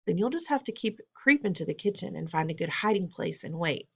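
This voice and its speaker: A woman reading aloud, voicing a brisk, determined elderly grandmother with a genteel, firm manner. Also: fairly low pitch, animated, vocal fry, a bit bright, husky, heavily acted. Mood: plotting. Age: older.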